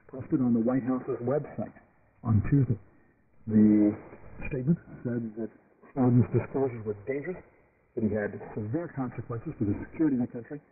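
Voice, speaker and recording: A man's voice: medium pitch, phone-quality sound. A man reading scripted news as a radio presenter, heard over a shortwave broadcast. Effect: shortwave radio transmission. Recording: noisy band-limited shortwave radio broadcast.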